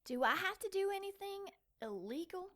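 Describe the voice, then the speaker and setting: A woman's voice: very high-pitched, sing-song, normal speed, fairly bright tone, full character voice. An actress playing a wary, indignant woman in an audio drama, asking pointed questions. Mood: wary, offended.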